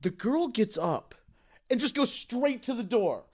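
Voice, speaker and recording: A young man with a high, light voice tells an embarrassing story in a loose, rambling way. He stumbles, restarts and laughs at himself as he goes, in casual podcast chat. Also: very animated, unhurried, a little mellow. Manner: self-deprecating, rambling with restarts. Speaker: podcast host.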